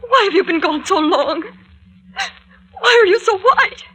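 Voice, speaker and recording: Shrill, terrified young woman. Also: animated, sharp tone, exaggerated voice. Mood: frightened, pleading. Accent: American. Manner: breathless, wailing, halting. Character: frightened, wounded young woman, distraught and clinging. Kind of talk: 1940s radio melodrama acting. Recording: vintage 1940s radio recording.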